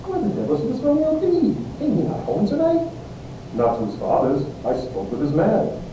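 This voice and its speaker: A man playing a cocky, mocking young gentleman in an amateur Shakespeare reading, animated and teasing. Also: moderate pace. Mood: agitated. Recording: very echoey, distant, noisy with rumble.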